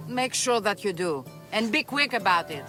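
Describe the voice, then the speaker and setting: An actor playing an impatient, sceptical bow maker with a curt, scoffing manner in a video game. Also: male voice, high voice, lively intonation, moderate pace, music in the background. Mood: scornful. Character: grouchy, sceptical, impatient male bowyer, grudging craftsman. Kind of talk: video game cutscene acting.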